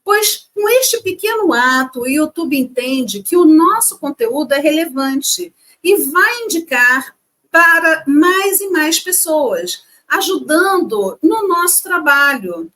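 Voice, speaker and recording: A woman's voice: high-pitched, lively intonation, slow pace, pushed and bright. A woman co-hosting a casual educational chat, reading facts and adding playful asides. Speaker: co-host.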